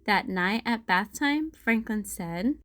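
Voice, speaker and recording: A woman narrating a children's storybook as a read-aloud host, in a deliberate storytelling manner. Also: medium pitch, animated, fairly bright tone, no room sound, studio quality.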